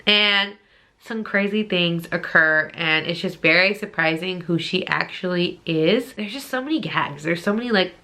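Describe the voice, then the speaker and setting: A woman's voice: on the low side, normal speed, bright, forceful tone. A woman talking casually as a book reviewer on her vlog, informal and gushing, with emphatic repetition. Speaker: book reviewer, channel host.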